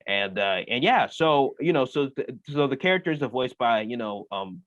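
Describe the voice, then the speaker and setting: A man's voice: mid-range voice, normal speed, no room sound. A young man, a comedian, answering interview questions casually with many "uh"s and "you know"s. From United States. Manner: relaxed, lots of fillers like "uh" and "you know". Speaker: comedian guest. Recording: muffled dry remote audio.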